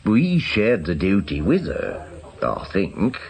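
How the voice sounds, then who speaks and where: A British actor playing a chatty caretaker in a radio drama, rambling and confiding in a naturalistic way. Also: male voice, sits low, huge pitch swings, takes it slowly, creaky, darkish tone, exaggerated voice. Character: gossipy working man, chatty clinic caretaker.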